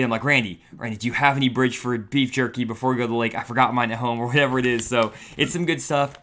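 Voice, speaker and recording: A young man hosting a fishing livestream, chatty and upbeat, talking straight to viewers, his voice a little raspy and tired. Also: mid-range voice, fairly bright tone, a little hiss or hum. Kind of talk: casual livestream talk.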